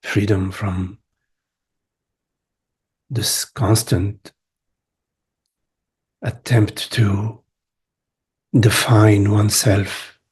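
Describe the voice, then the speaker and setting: A man leading a guided meditation as a teacher, speaking gently in short phrases with long pauses. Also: on the low side, steady tone, breathy edge. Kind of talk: guided meditation, spiritual talk.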